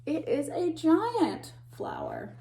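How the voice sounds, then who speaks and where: A woman teacher reading a picture book aloud to children, pausing to point out the pictures and dropping to a whisper or a gasp at tense moments. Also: high-pitched, vocal fry, a little hiss or hum. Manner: animated, gasps and whispers for suspense, pauses to point out pictures. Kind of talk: expressive read-aloud storytime.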